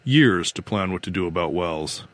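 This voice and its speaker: Calm, probing male investigator read by a man, dry. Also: low voice, normal speed, dry recording, voice pushed down. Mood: accusing. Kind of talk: audiobook character dialogue.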